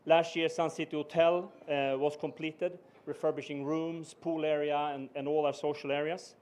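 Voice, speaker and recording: A man's voice: on the high side, flat delivery, darkish tone, a little hiss or hum. Swedish-accented man giving a formal speech. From Sweden. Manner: measured, with frequent "uh" pauses. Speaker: business executive giving a speech. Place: ceremony venue.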